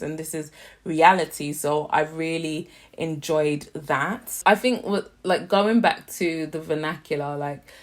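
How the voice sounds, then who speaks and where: A young British woman casually reviewing a TV series, chatty and slangy with animated asides. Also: low-pitched. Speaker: YouTube TV reviewer.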